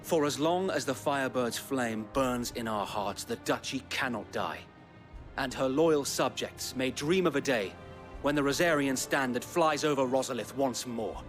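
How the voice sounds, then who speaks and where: An actor playing a loyal, battle-weary resistance knight in a fantasy game, earnest and formal with a rough soldier's tongue. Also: male voice, medium pitch, moderate pace, natural voice. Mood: solemn, resolute. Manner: earnest, rousing, formal speech with some coarse swearing. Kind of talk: dramatic fantasy game acting. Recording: orchestral game music behind.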